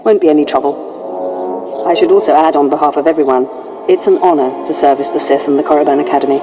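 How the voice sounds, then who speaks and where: An actress playing a brisk, dutiful military lieutenant in a video game, with a formal, clipped manner. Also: female voice, sits low, lively intonation, over music. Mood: courteous, formal. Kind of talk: video game character acting.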